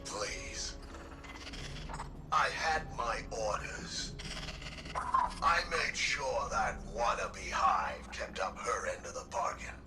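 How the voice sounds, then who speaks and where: A man's voice: fairly low pitch, takes it slowly, pauses often. A man voicing a bitter, haughty captive alien machine in game dialogue, disdainful and indignant, set back from the mic with music behind. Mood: defiant, proud. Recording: distant voice under music.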